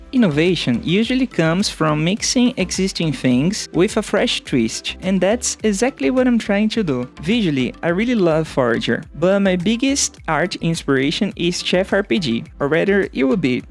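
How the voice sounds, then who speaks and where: A young man from Brazil, Portuguese native, with a Brazilian accent, narrating his game devlog in a playful, self-mocking way. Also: a little high. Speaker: solo game developer. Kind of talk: scripted devlog voice-over.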